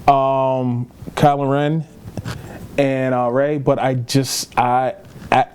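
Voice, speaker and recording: A man's voice: mid-range voice, little pitch movement, takes it slowly, a little mellow, a little hiss or hum. A man co-hosting a film podcast in casual chat, rambling and repeating himself for emphasis. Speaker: podcast co-host reviewing films.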